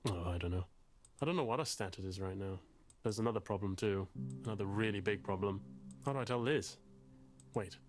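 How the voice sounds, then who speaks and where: An actor playing an anxious, bookish, self-pitying man in a game, talking in a wordy, halting, confessional way. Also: lowish voice, over music, lightly acted. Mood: fretful, flustered. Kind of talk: confessional dramatic game dialogue.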